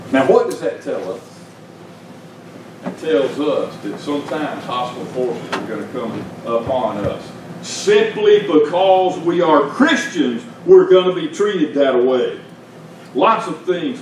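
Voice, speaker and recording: Preacher in an echoey church. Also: male voice, high-pitched. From Georgia, US. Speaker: pastor. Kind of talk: sermon. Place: small church sanctuary, indoors. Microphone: stand mic at pulpit, filmed by far camera. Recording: very echoey church room, distant mic, noisy.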